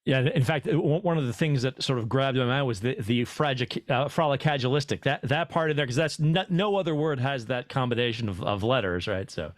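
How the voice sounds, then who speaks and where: A man's voice: mid-range voice, animated, a little fast, few pauses, no room sound, studio quality. A man who teaches classes, chatting on a video call, stumbling over words and laughing easily. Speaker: club instructor. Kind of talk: casual group video-call discussion.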